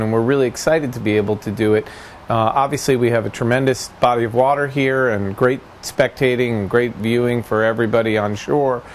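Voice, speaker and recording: A man's voice: mid-range voice, slight background noise. A middle-aged man, a college sailing coach, speaking eagerly outdoors by a marina, with many fillers. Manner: enthusiastic, filler-heavy speech. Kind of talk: outdoor interview.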